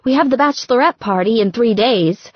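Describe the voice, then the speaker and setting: A fussing bride in an audiobook, played by a woman narrator, talks in a raised, chirpy pitch. She is brisk, bossy and insistent, on a slightly muffled recording. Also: animated, dry recording. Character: bossy, brisk, fussing bride organizing her bridal party.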